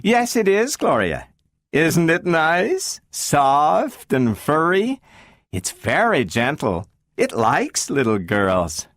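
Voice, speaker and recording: A man reading aloud and voicing a gentle, anxious mother who coaxes her child slowly. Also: on the high side, wildly swooping pitch, dry recording, clean studio sound, voice pushed up. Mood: coaxing. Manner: slow and coaxing.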